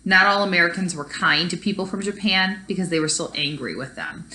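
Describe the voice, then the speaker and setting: A woman's voice: lowish voice, brisk, bright, forceful tone, slight background noise, low-quality recording. A woman teacher reading a children's story aloud expressively and explaining it to young pupils. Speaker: elementary school teacher.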